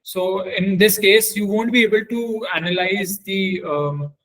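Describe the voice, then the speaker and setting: A man teaching in a webinar, demonstrating software step by step and often halting to search for words. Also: sits high, rough recording. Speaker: webinar trainer.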